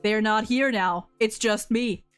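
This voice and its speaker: A woman's voice: medium pitch, a little slow, clean tone, faint music behind. A woman in a casual discussion of a video game, talking emphatically and swearing freely. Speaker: host.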